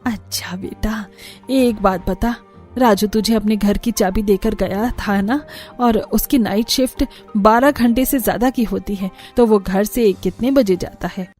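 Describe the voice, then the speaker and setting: A woman's voice: mid-range voice, measured pace, a little mellow, soft background music, lightly acted. A woman voicing a scheming, thrifty middle-aged mother-in-law in a cartoon story, coaxing and breezily dismissive. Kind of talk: cartoon story character dialogue.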